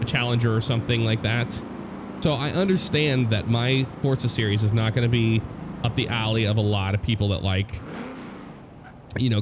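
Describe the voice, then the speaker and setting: A young American man with a cold-roughened, hoarse voice, rambling casually as he comments on a driving game. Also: medium pitch. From United States. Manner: rambling, relaxed, many fillers and restarts. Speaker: gameplay commentator. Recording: game car sounds loud behind voice. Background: car engine and road noise from the game.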